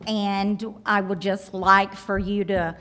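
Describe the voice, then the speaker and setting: A woman addresses a planning board at a public hearing, loud and emphatic, pressing the members to take her concerns seriously. She speaks slowly and deliberately. Her voice carries with a long echo through a large meeting hall. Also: mid-range voice, little pitch movement. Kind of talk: public comment at a zoning meeting.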